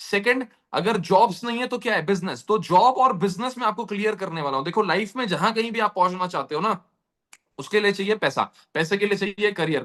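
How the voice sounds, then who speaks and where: Man with a high voice, persuasive sales pitch, motivational, talks straight to listeners. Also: a bit bright. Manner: motivational, addresses listeners directly, repeats points for emphasis. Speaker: presenter of an earning opportunity session.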